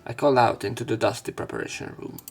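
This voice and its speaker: A man's voice: mid-range voice, fast talker, creaky, breathy edge. A man doing a let's play, reading a visual novel aloud in an everyday voice and adding casual commentary. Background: game music.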